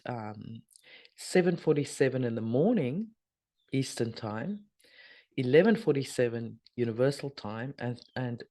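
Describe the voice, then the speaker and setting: A middle-aged woman, hoarse and husky from a sore throat, chatting casually as host of a spiritual video update with rambling, trailing asides. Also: extremely low pitch, a little mellow. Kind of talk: casual spoken energy update.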